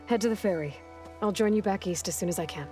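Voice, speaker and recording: Woman playing young female hunter, blunt, determined, earnest game dialogue, loud music behind. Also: medium pitch, fast, close to an everyday voice. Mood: friendly, brisk. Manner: direct, earnest. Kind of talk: video game voice acting.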